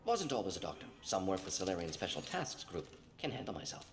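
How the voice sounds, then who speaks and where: Clever alien scientist, lowish voice, rapid telegraphic speech, matter-of-fact, game dialogue. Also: male voice, huge pitch swings, creaky, full character voice. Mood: clinical, matter-of-fact. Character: brisk, clever alien salamander-like scientist doctor, ex-operative. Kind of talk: video game dialogue acting.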